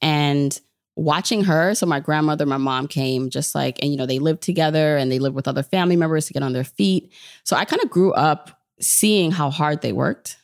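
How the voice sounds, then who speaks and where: A woman's voice: low-pitched, normal speed, no room sound. Chatty New woman, close dry sound. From born in Jamaica, grew up in New York. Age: 30s. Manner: chatty, informal storytelling with quoted self-talk. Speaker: podcast guest, personal finance podcaster. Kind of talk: casual conversational interview.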